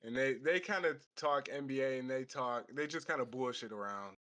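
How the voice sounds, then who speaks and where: A man's voice: medium pitch, moderate pace, sharp tone. A man co-hosting a casual podcast, easygoing and slangy. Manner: easygoing, slangy, short interjections. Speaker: podcast co-host.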